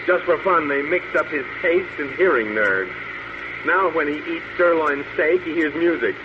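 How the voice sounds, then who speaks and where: A man's voice: fairly high pitch, lively intonation, normal speed, lightly acted. An actor playing a smug, crooked space policeman who taunts with mock friendliness in an old-time radio drama. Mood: amused, gloating. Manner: taunting, mock-friendly, savors his words. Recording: muffled noisy old radio recording.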